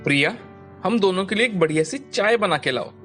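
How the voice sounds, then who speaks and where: A man's voice: high-pitched, animated, brisk, fairly bright tone. A man voicing an earnest, stubborn young man, a pampered lovestruck son in a cartoon story. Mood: affectionate. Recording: music bed behind the voice.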